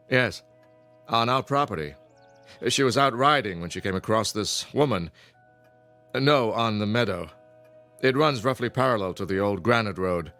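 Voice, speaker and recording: Stern patrician father for radio drama: middle-aged, low voice, formal authority, music underneath. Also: a bit bright. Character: stern, wealthy, protective father of a grown daughter.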